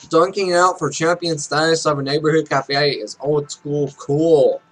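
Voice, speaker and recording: A man's voice: a little high, fairly bright tone, low-quality recording. A man in his twenties reading game text aloud with casual, joking, self-mocking commentary. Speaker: Let's Play streamer. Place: small room at home.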